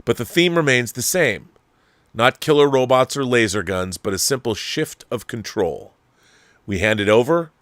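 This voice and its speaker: Male talk host, lowish register, very dry recording, conversational and opinionated with emphatic delivery. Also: a bit bright, clear, resonant tone, dry recording. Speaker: online show host. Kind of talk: opinionated talk, reading viewer mail.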